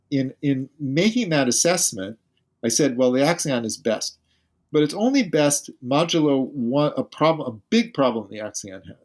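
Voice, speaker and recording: Man, podcast guest, physicist, thinks aloud, careful explainer, average pitch. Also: darkish tone. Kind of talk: podcast interview.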